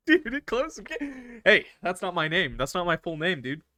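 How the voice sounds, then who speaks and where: A man streams a game in a bright, forward voice, recorded dry and close. He talks casually in slang, throwing exclamations at his chat. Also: high-pitched, very animated, slow pace, no room sound. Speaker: streamer playing a visual novel. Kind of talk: casual gaming stream commentary.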